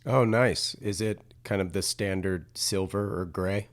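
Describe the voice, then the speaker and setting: A man hosting a podcast, chatting keenly with a guest over a video call and cutting in with quick affirmations. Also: deep voice, fairly even intonation. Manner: enthusiastic, frequent short affirmations. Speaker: podcast host. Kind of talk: casual interview chat.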